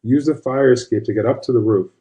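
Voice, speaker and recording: A man's voice: mid-range voice, brisk, mellow, lightly acted, low-quality recording. A man voicing a no-nonsense older witch grandmother, folksy and commanding, in an audio horror story. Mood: urgent, commanding.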